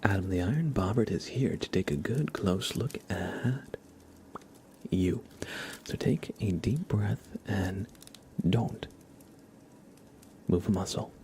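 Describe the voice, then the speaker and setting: ASMR host, male, soft breathy half-whisper, very slow gentle delivery, noticeable background noise. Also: lowish voice. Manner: gentle, unhurried, informal.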